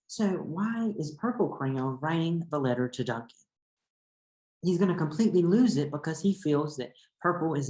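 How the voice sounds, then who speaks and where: A man reading a picture book aloud as a teacher, guiding listeners with questions. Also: on the high side. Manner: instructive, addressing listeners as a group. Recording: roomy echo with clear background noise.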